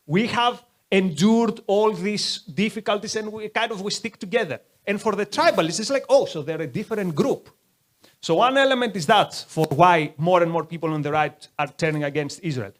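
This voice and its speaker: A man leading a conversational lecture, taking questions from the audience in a museum hall. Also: high-pitched. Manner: conversational, uses many "like" asides.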